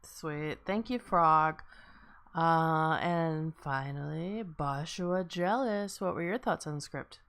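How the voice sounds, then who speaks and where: A woman's voice: deep voice, slow pace, fairly bright tone. A woman casually moderating a livestream discussion as its host. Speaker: host, moderator of a table read.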